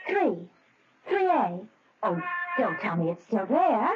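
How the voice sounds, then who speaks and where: High-voiced, emotional wife, muffled recording. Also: female voice, very animated, slow, over music. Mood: astonished. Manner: hesitant, emotional, breaking phrases. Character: anxious, wistful wife, emotional and easily swept up. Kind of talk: radio mystery drama acting. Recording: muffled, dull old radio recording.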